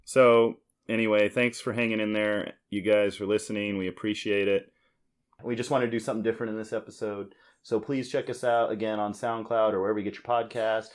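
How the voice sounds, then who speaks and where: An American man in his forties chatting casually as a podcast host, jokey and given to excitable storytelling. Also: on the low side, brisk. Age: 40s. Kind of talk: casual board game banter.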